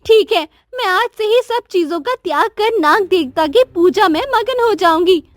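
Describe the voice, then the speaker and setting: A woman's voice: extremely high pitch, expressive, normal speed, no room sound, clean studio sound. A woman voicing a remorseful young queen and anxious mother in a cartoon story, speaking in an earnest, pleading, dramatic way. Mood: pleading resolve. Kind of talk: Hindi cartoon story dialogue.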